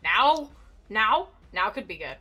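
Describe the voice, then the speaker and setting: A woman voicing a hesitant, earnest male half-elf adventurer in a tabletop game, speaking haltingly. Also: mid-range voice, sing-song, sharp tone, breathy edge, faint music behind, pitched below the performer's own voice, heavily acted.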